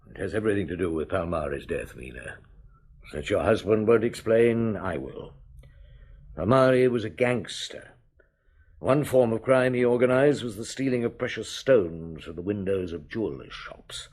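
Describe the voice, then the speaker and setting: Weary chief inspector in a radio play, husky and low, lively delivery. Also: male voice. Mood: accusing. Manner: measured, authoritative. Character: shrewd weary male police inspector, calm. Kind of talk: radio drama acting.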